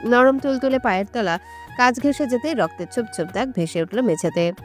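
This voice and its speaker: A woman's voice: fairly high pitch, fairly quick, soft background music. A woman reading a scripted romantic story as its narrator.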